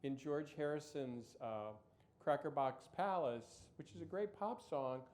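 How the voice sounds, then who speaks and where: A man in his fifties, a show creator, talking in a panel discussion with lots of restarts and animated asides. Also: on the high side, measured pace.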